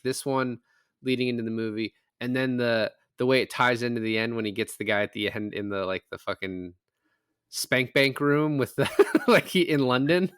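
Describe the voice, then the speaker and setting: Dry-sounding, bright-voiced man recapping a film. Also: mid-range voice, smooth, no echo. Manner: rambling, acts out imagined lines. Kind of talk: casual film-recap chat.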